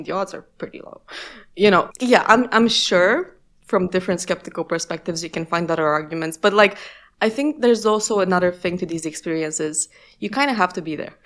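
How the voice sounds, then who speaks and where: A woman with a lower-pitched voice whose first language is Romanian speaks in a relaxed, reflective conversation. She tells her stories animatedly, often laughing and restarting her sentences. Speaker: guest.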